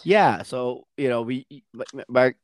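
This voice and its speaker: A man talking casually as a coach and co-host, halting and often rephrasing mid-sentence. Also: medium pitch, no echo, studio quality. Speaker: endurance coach and podcast co-host. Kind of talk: casual conversational podcast talk.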